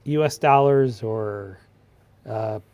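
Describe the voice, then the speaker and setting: A man's voice: on the low side, slow, darkish tone, dry recording. A man in casual conversation on an online livestream panel.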